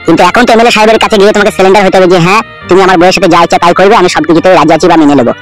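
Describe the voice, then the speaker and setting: Man acting a smug, quick-talking cartoon henchman. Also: sits high, steady tone, fairly bright tone, soft background music. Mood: smug, bossy. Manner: bossy, matter-of-fact persuading. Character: smug young henchman of a corrupt politician, cartoon. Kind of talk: comedy cartoon dubbing.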